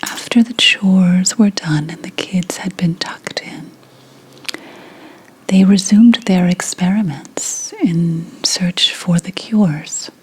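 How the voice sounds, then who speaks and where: A woman playing a mystical, brooding 17th century witch healer in a breathy, whispered ASMR roleplay, telling things solemnly with deliberate pauses. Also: fairly low pitch, darkish tone, a little hiss or hum, poor audio. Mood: reverent, storytelling.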